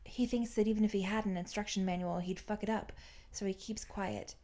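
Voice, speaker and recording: A woman's voice: mid-range voice, steady tone, brisk, warm tone. A woman reading fan fiction aloud as scripted audiobook-style narration.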